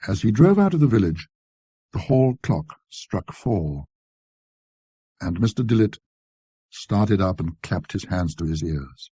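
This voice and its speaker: A man narrating a classic ghost story in a precise, scholarly, measured manner. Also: on the low side, very animated, mellow, husky, dry recording.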